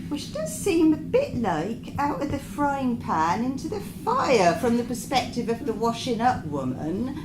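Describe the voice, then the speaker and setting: A woman reading a comic monologue live as a naive, chatty narrator, confiding and dropping deadpan asides. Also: on the high side, measured pace, few pauses. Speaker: writer reading her own comic short story. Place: indoor performance venue. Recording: echoey live venue recording with background noise.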